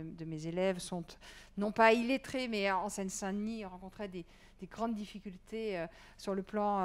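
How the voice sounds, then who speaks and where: A woman's voice: mid-range voice, clear, resonant tone. A woman who writes and teaches, answering earnestly at a panel discussion, fluent but with frequent fillers. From Iran.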